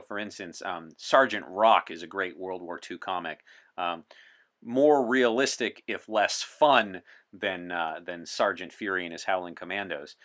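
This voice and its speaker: A man's voice: low voice, pushed and bright. A man speaking as an interview guest in an articulate, explanatory and wry way. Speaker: podcast guest. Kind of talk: conversational interview talk in character as a visitor from 1964.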